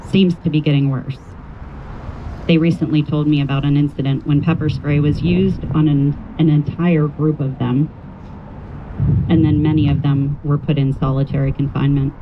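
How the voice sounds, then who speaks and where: A man with a slightly high, flat voice reads a written testimony at an outdoor rally. He is plain and measured, and the recording is dull. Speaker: rally speaker reading a testimony. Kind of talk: reading a first-person account aloud. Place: outdoor rally near a road. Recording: dull lo-fi audio, faint vehicle noise.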